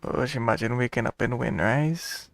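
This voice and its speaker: A man's voice: medium pitch, normal speed, creaky. A man giving casual reactions as he plays through a game. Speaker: game streamer.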